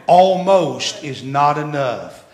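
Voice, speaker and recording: A pastor in his sixties preaching a sermon, repeating phrases as he swells loud, then dropping very soft. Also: a little high, animated, slow pace. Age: 60s. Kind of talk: sermon with storytelling. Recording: echoey church room.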